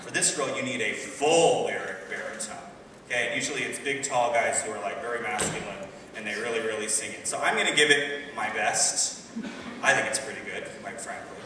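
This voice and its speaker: A man's voice: mid-range voice, a little fast. A man in his thirties, a singer, chatting casually and self-deprecatingly to a concert audience from the stage. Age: 30s. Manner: chatty, self-deprecating, says okay and like often. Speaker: singer introducing a concert piece. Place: school auditorium on stage. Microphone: distant room mic. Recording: echoey hall, distant, with noise.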